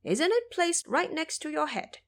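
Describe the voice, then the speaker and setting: Cocky male monkey disciple played by a woman, bossy and scolding, lifted pitch with lively swings, for an audio drama. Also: a little slow, full character voice. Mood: excited. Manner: scolding, bossy, self-assured.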